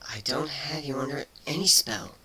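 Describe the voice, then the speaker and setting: A performer voicing a feisty, defensive female changeling with a sharp tongue in a dramatic story reading. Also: mid-range voice, normal speed, breathy edge, voice pushed up, low-quality recording. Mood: wounded, defensive. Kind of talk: dramatic fan fiction reading.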